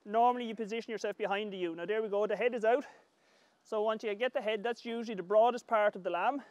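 A middle-aged man with an Irish accent giving calm, measured hands-on instructional commentary as an agriculture professor. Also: sits high, no echo, dull audio. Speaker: agriculture professor demonstrating lambing. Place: large open livestock shed with straw pens.

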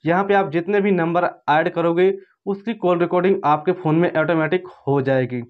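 A man's voice: a little high, steady tone, moderate pace. A man narrating an informal step-by-step tutorial in repetitive, instructional phrasing. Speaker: phone tutorial presenter. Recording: dull, lo-fi voice-over.

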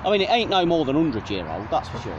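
A young man with an English Midlands accent, chatting excitedly while vlogging from a riverbank, full of tag questions. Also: on the high side, fast talker, highs cut off. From England. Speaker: magnet fishing vlogger. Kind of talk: casual outdoor commentary. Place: riverbank outdoors. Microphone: handheld or body camera. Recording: outdoor wind and traffic noise.

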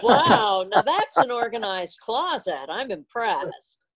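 A woman's voice: on the high side, sing-song, takes it slowly, over a noisy crowd, poor audio. A woman chatting casually with a group on a video call. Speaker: club member chatting in meeting.

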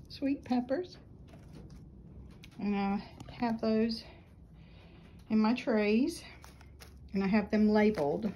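A middle-aged woman chatting casually about her seedlings in a small greenhouse, unhurried and rambling with many ums, filmed on a handheld phone. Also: fairly high pitch, a little mellow, a little hiss or hum. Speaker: flower farm vlogger. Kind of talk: casual gardening vlog. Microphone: phone camera held while filming.

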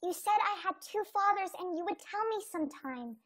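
A woman's voice: extremely high pitch, animated, fairly quick, fairly bright tone. A woman acting a curious, pleading little daughter at bedtime in a radio play.

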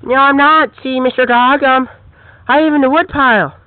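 Performer doing a shy lonely cat, meek and plaintive, high voice; tinny phone sound. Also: fairly even intonation, normal speed, no echo, lightly acted. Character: timid lonely cat in a woodpile.

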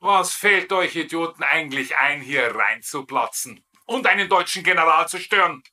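A blustering Bavarian sergeant in a sitcom dub. The voice is piercingly bright and rather high, swinging widely in pitch. He is indignant, tipsy and full of mock military authority. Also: animated, moderate pace, heavily acted. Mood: blustering outrage. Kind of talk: dubbed sitcom comedy acting.

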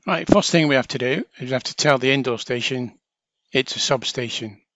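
A man narrating a step-by-step software tutorial in an informal, chatty way, talking through each action as an instructor. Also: mid-range voice, brisk, no echo, dull audio. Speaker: training video instructor.

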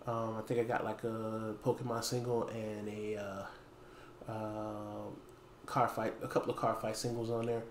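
A man in his thirties talking casually to camera as a store owner making an announcement, pausing often mid-phrase. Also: fairly low pitch, level tone. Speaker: small game store owner and video host. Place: dim indoor room with wooden floor. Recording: echoey room, faint background noise.

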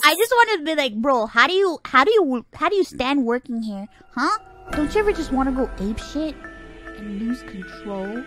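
A young woman streamer reacting live in an excitable, foul-mouthed way, breaking into shrieking outbursts. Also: sits high, normal speed. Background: music.

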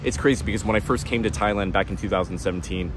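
A man's voice: fairly low pitch, racing. A man in his thirties with an American accent, talking bluntly and casually to camera in a park. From California. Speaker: vlogger. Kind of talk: candid talk to camera. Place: sunny city park outdoors. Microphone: wireless clip-on mic, camera on tripod. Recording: outdoor park with traffic noise.

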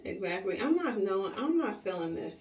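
A woman in her thirties talks casually, like a mom at home, with a blunt and teasing manner. Her medium-pitched voice sounds a little muffled and comes from away from the mic in an echoey room. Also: big pitch swings, a little fast, a little mellow. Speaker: mother and family vlogger styling her daughter's hair. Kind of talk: casual chat. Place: small room at home, indoors. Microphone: camera or phone a short distance away. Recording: roomy, muffled, distant, faint noise.

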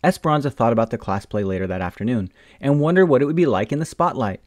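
Expressive man reading a picture book. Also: medium pitch, fast talker, dry recording. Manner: gentle, expressive storytelling. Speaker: storytime reader. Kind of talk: children's book read-aloud.

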